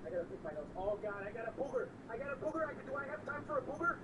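A man streaming a horror game, excitable and loud, swearing and mixing English with Spanish while losing his voice. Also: very high voice, very fast, recorded from a distance, background noise. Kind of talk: live gaming commentary.

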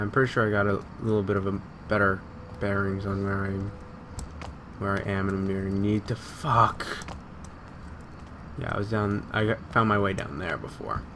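Male let's-player, lowish voice, casual self-muttering with plenty of swearing, faint game noise behind. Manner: muttering to himself, sweary, repetitive. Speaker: gamer recording a let's play. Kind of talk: casual gameplay commentary.

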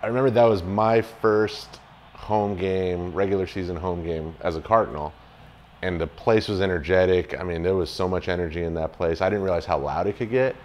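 Very low-voiced male interviewee. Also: a little fast, darkish tone, highs cut off, a little hiss or hum. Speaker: former football player. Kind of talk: casual interview recollection.

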